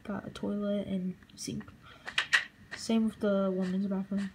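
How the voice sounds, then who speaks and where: A young woman casually narrating a walkthrough of a game build she made, halting and relaxed, with fillers. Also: medium pitch, background noise. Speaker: channel host.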